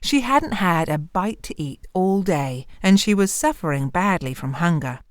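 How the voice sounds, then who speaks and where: Measured woman storyteller, dry close sound. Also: lowish voice, animated, no echo. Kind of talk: expressive fairy-tale narration.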